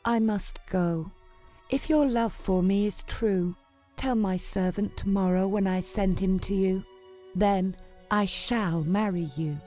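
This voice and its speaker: A woman playing a lovestruck young noblewoman, tender and anxious, in yearning, deliberate storybook dialogue. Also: medium pitch, mellow, faint music behind, natural voice.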